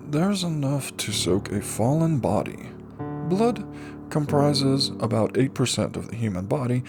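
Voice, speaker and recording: A man reading a light novel aloud as dramatic scripted audiobook narration. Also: medium pitch. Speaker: audiobook reader.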